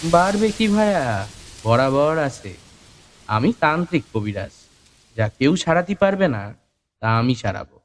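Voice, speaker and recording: Boastful, animated rural Bengali folk healer. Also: male voice, a little high, measured pace, pauses often. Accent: rural Bengali dialect. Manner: colloquial, boastful, emphatic. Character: boastful folk-healer man, older, chatty, superstitious, proud. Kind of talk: audio story character dialogue.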